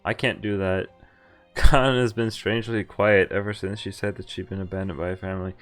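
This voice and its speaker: Man, low pitch, game streamer, casual commentary, reading text aloud, wry offhand asides, faint game music. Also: steady tone, a little fast, a bit bright. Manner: wry offhand asides between read lines. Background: visual novel music.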